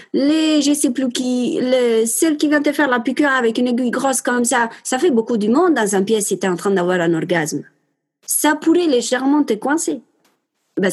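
Young woman, Italian accent, husky voice with a lively pitch, speaks emphatically and straight to her listeners. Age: 20s. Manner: passionate and emphatic, addresses listeners directly. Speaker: mother sharing her home birth story. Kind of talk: casual spoken monologue.

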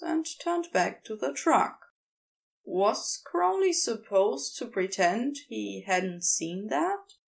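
Creaky, high-voiced woman narrating fiction. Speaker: audiobook narrator. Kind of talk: expressive scripted fiction narration.

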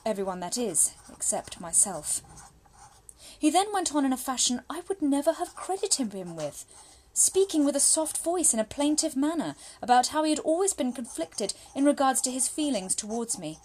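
A woman acts out a young Victorian lady with a high voice, anxious yet spirited. She reads her private letters as a dramatic monologue, confiding in genteel, formal phrases with expressive delivery. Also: moderate pace, a little hiss or hum. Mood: incredulous, confiding, then distressed, shaken.

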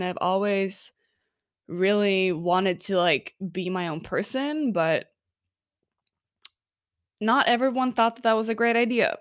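Chatty young woman from norway doing her solo podcast, lots of "like", slow easy pace, dry close mic. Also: fairly low pitch, no room sound. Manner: chatty, upbeat, filler-heavy with frequent "like". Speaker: podcast host. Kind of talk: casual solo podcast monologue.

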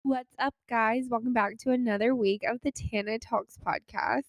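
A woman's voice: on the high side, steady tone, moderate pace, no echo. A young adult woman with an American accent, a podcast host talking casually in an informal, rambling stream of consciousness peppered with "like". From United States. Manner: chatty, informal, rambling with frequent "like".

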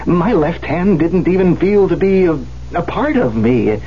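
An actor playing a shaken young concert pianist in a 1970s radio drama, stammering, agitated and pleading. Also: male voice, on the high side, big pitch swings, unhurried. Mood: frightened, bewildered. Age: young adult. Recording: muffled, noisy 1970s radio recording.

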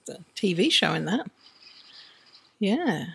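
A woman's voice: medium pitch, very animated, a little slow, creaky, husky. A woman hosting a podcast, chatting casually with a teasing, wry manner. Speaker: podcast host. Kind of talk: casual conversation.

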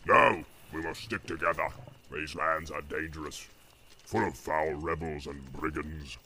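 Brutish orc captain, deep slow growl, gravelly and barking, as a male reader puts him on. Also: fairly even intonation, pushed and bright, slight background noise, exaggerated voice. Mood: boastful. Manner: barking, domineering.

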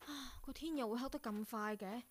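A woman acts as an excitable young intern in a radio play, with a high, chirpy voice. She is curious and teasing, full of exclamations, over faint background noise. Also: brisk, close to an everyday voice. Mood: eager, teasing. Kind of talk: Cantonese radio drama acting.

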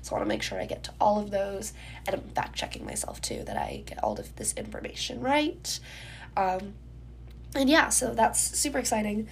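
Young woman with a stuffy nose, kinda gravelly, rambling podcast chat w/ lots of ums, faint noise behind. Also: mid-range voice, very animated, moderate pace. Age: young adult. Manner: chatty, many ums, getting ready while talking. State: congested, stuffy nose, sore throat. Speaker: podcast host. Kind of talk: casual solo podcast chat.

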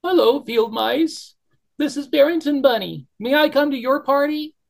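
A small, lonely bunny speaks in a high, pleading voice that keeps breaking into sniffles, as a man puts him on. The voice is husky and swings widely in pitch, like a storybook reading aloud. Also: big pitch swings, moderate pace, full character voice. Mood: tearful.

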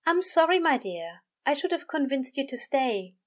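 A woman voicing a gentle, earnest male angel bookseller in an audio story, soothing, polite and a little prim. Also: high-pitched, big pitch swings, normal speed, pitched above the performer's own voice. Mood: sympathetic.

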